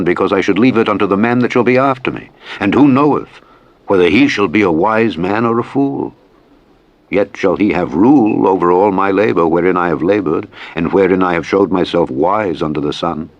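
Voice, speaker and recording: A man reading scripture as a solemn audiobook, in a measured, formal, declamatory manner. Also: deep voice, no echo. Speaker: scripture reader. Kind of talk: solemn Bible audiobook narration. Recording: dull, muffled, lo-fi audio.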